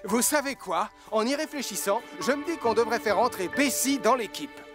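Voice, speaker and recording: A steady young cartoon firefighter who explains things patiently and reassures everyone around him. His voice sits fairly high, with music behind it. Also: moderate pace. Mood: calm. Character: calm, capable young male firefighter hero. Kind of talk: cartoon voice acting.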